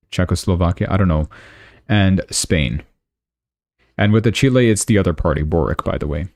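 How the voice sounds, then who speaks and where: A young man from Canada hosts a casual live news stream, thinking aloud and correcting himself as he goes. His voice sits very low, dark and mellow, and the sound is dry and close. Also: dry recording.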